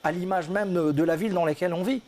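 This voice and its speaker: High-voiced guy, earnest theatre director, repeats himself for emphasis, tv studio. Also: fast talker, darkish tone. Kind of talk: studio interview.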